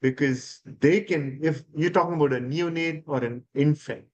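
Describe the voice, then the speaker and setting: A man's voice: on the high side, moderate pace, darkish tone, highs cut off. A man from India, an ENT surgeon, explaining nasal treatment in an interview with many fillers and restarts. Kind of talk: expert interview answers.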